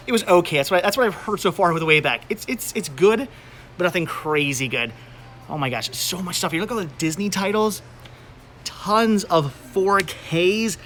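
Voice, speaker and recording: A high-pitched American man talks casually to his viewers as he vlogs on location. His voice is bright and forward, and he repeats words as he chats. There is some background noise around him. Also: expressive, moderate pace. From United States. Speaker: host of a movie-collecting video channel. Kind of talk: casual shopping vlog narration. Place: on location at a flea market and store.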